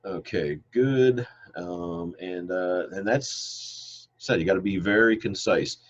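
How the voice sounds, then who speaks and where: A man's voice: low voice, slow pace. A middle-aged man who says he is congested, teaching a biology class over a video call in a loose, rambling way with many fillers. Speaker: biology professor. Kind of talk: online class lecture with questions. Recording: dull lo-fi video call audio.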